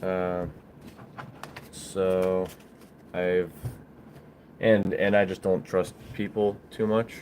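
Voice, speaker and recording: Low-voiced man chatting casually on a livestream. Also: level tone, a little mellow, slight background noise. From Bulgaria. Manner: halting, rambling, informal. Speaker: livestream host, small business owner. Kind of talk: casual livestream chat answering viewers.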